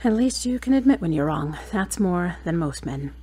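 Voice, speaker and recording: A woman's voice: lowish voice, animated, normal speed, dark tone, breathy edge. A woman playing a frank, exacting girlfriend in an audio drama, wry and challenging, with pointed questions. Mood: exasperated, frank.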